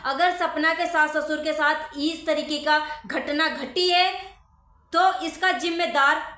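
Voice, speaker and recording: A woman with a very high, sharp voice speaks as a vlogger to her viewers. She comments earnestly and emphatically, often repeating her points. The sound is roomy and echoing. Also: fairly even intonation, measured pace. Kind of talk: opinionated commentary addressed to viewers.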